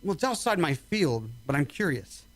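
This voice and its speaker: Guy playing army doctor in a radio drama, higher voice, really varied intonation, reassuring. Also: sing-song, moderate pace. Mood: musing, curious. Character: military doctor, calm, soothing, skeptical officer. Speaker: actor in a radio play. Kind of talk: amateur radio drama acting.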